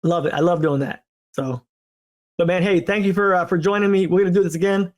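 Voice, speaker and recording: A man with a notably high voice hosts a podcast in loose, casual conversation. He rambles and repeats himself, and his stories come out in eager, stop-start bursts. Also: a little fast. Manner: animated, rambling, repeats words. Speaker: podcast host. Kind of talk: casual chat.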